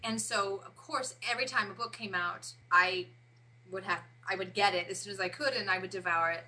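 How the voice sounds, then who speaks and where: A woman in her forties, an interview guest, talking haltingly and often pausing to search for words. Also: mid-range voice, bright, forceful tone, poor audio. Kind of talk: casual interview chat.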